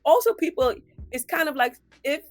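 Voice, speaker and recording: Nasal, congested woman, fairly high voice. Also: moderate pace, dry recording, faint music behind. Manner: animated, acts out little quoted lines. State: congested with a cold. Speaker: gynecologist guest. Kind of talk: casual interview talk.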